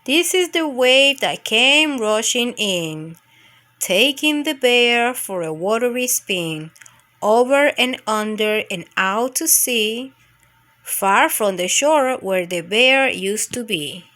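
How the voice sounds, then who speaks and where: A woman's voice: on the high side, animated, slow pace, sharp tone. A woman reading a picture book aloud as a storytime narrator for young children.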